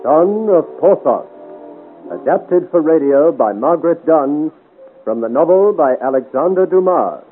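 A man's voice: fairly high pitch, lively intonation, unhurried, long pauses, phone-quality sound. A man announcing credits in a formal, scripted style for an old radio serial. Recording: thin old radio recording, music behind. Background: music.

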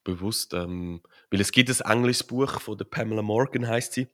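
Swiss German man, low voice, relaxed rambling guest explaining at length with lots of fillers. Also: normal speed. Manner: rambling, many fillers and tag questions. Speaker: podcast guest, author and crypto consultant. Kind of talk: casual conversational interview.

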